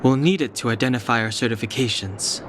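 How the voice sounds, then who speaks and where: A composed, practical game companion played by a man. The voice is middle-pitched and matter-of-fact, with clear noise in the background. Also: fast, natural voice. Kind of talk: scripted game cutscene dialogue.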